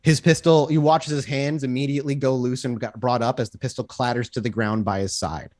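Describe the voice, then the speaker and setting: A man's voice: medium pitch, fairly even intonation, a little fast, a little mellow, no echo. Guy game mastering, mid voice, chatty storytelling, dry close audio. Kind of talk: improvised tabletop game narration.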